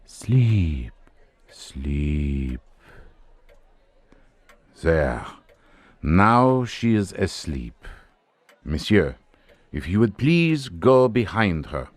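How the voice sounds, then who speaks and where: An actor playing a self-assured French doctor and hypnotist in a radio drama, measured, theatrical and commanding. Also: male voice, deep voice, sing-song, dry recording, full character voice. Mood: commanding, hypnotic.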